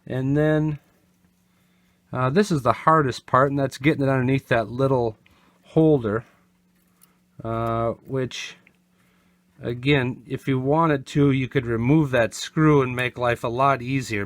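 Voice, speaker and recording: A man giving a casual hands-on product demo, explaining step by step in a plainspoken, unhurried way. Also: a little high. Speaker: vintage gear seller and demonstrator.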